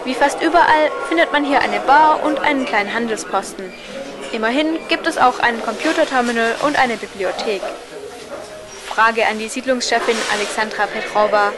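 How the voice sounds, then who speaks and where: A woman's voice: on the high side, moderate pace. A woman reading scripted narration as a newsreel reporter.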